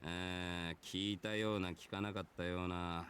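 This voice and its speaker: An actor voicing a lazy, brusque warrior man in a visual novel, with rough, listless, offhand delivery. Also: sits low, steady tone, brisk, a bit bright, slight background noise. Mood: lazy, relenting. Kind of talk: Japanese visual novel voice acting.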